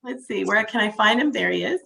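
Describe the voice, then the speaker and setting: Female meeting moderator, animated intonation, mid-range voice, asks questions and hands over between speakers. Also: mid-range voice, a little fast, vocal fry. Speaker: meeting host. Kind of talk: moderating an online group meeting, asking questions.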